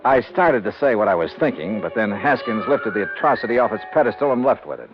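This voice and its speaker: A brash investigator played by a man in vintage radio noir. He is wry and flippant, with a mid-pitched, very animated voice. The recording is dull, with music behind it. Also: quick pace, natural voice. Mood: sardonic. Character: wisecracking, brash male insurance investigator. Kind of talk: 1950s radio noir acting and first-person narration.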